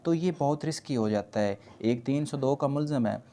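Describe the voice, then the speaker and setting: A man's voice: mid-range voice, moderate pace, warm tone. A man with a Pakistani accent giving an explanatory law lecture, reading points aloud carefully and explaining them conversationally. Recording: noticeable background noise.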